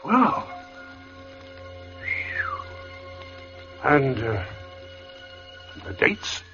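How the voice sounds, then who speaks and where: An actor playing a bewildered, folksy stonemason with a halting, stammering delivery in a 1940s radio drama. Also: male voice, a little high, wildly swooping pitch, bright, forceful tone, highs cut off, full character voice. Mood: hesitant, puzzled. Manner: halting, stammering, slow to find words. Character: folksy, hesitant, bewildered working-class stonemason. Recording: band-limited 1940s radio broadcast with music.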